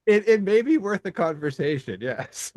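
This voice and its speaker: A man co-hosting a podcast in casual chat, animated and laughing often, restarting his sentences as he talks. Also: high-pitched, normal speed, no echo, studio quality. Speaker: podcast co-host.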